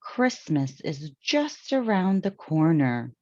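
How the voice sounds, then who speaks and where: A busy lady of the house from a storybook, as a woman narrator puts her on, bossy yet good-humoured. She exclaims and instructs with big pitch swings in a mellow tone, on a dry, slightly muffled recording. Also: sing-song, slow, dry recording, full character voice. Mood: fretting.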